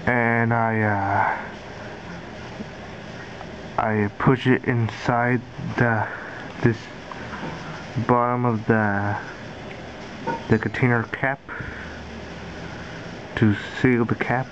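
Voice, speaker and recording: Guy doing a homemade diy how-to, slow halting talk, muffled lo-fi camera sound. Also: medium pitch. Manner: halting, repetitive, simple phrasing. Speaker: amateur maker demonstrating a homemade gadget. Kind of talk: casual DIY tutorial. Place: carpeted room indoors. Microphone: handheld camera's built-in mic. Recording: dull lo-fi camera audio, steady noise.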